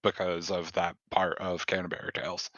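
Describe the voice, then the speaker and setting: A man's voice: low-pitched, monotone, normal speed, a bit bright, no echo. A man co-hosting a podcast in casual film chat, recorded with a slightly dull, lo-fi sound. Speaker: podcast co-host.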